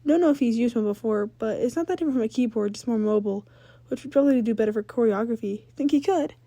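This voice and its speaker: A woman's voice: a little high, fast talker, mellow, dry recording. A woman voicing a brash, cocky teenage rock-star ghost girl with blunt, teasing, slangy delivery in a read-aloud story. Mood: proud.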